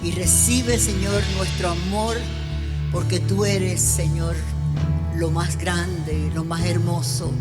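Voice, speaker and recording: A worship leader saying a spoken congregational prayer in church, devout, with repeated invocations. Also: medium pitch. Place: church service. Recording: loud music over the voice. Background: loud worship music.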